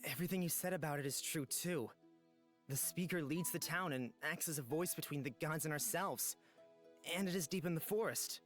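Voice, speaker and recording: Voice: medium pitch, moderate pace, faint music behind, lightly acted. An actor voicing an earnest, anxious exiled boy searching for answers in a fantasy visual novel, with a hesitant manner. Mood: puzzled, sincere.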